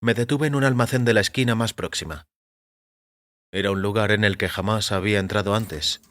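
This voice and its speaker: A man reading scripted first-person fiction as narrator, in a measured, composed manner. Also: fairly low pitch, a bit bright. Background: music.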